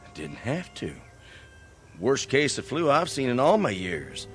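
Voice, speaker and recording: An actor playing a flustered, self-important older country doctor in a radio drama, hesitant and repetitive, with a rural American accent. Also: male voice, mid-range voice, huge pitch swings, a little slow. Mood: defensive. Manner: hesitant, repeating himself.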